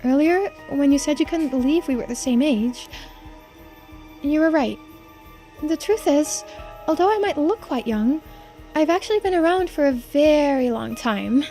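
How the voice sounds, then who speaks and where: Woman plays ageless, playful girl for a visual novel; high, youthful pitch, understated and slightly hesitant. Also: lively intonation, a little slow, dark tone, soft background music. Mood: hesitant, confiding. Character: immortal centuries-old girl who looks seventeen, coy, playful. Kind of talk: voiced visual novel playthrough.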